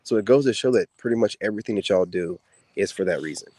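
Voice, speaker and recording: Man, low voice, soft-spoken, laid-back drawl, lots of fillers, casual to-camera monologue. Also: fast, slightly rough, dry recording. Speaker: content creator speaking to women viewers. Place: outdoors.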